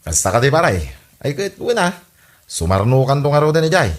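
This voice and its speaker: An actor with an Ilocano accent playing an easygoing, bored village husband in a comedy drama podcast. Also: male voice, fairly high pitch, sing-song, brisk. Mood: cheerful, inviting.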